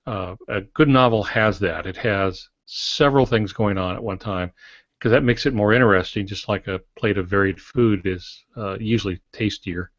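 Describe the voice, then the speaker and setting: Man, low pitch, measured instructive co-host, conversational, roomy and slightly muffled. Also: fairly bright tone. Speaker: podcast co-host. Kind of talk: conversational teaching on fiction writing.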